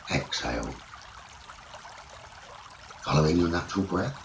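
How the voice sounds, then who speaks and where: Deep-voiced man guiding a meditation. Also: gravelly, darkish tone. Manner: soothing, measured guiding delivery in short phrases. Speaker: meditation teacher. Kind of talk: guided sleep meditation, instructional narration. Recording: roomy echo, running water noise behind. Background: trickling stream water nature sounds.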